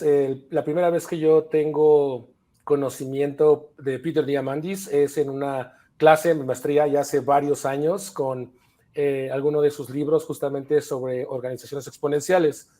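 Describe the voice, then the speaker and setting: A man's voice: fairly high pitch, fairly even intonation, measured pace, a little mellow. A man from Mexico City with a Mexican Spanish accent, talking as a podcast guest in a thoughtful way with frequent fillers. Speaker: podcast guest, chapter leader. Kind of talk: casual conversation.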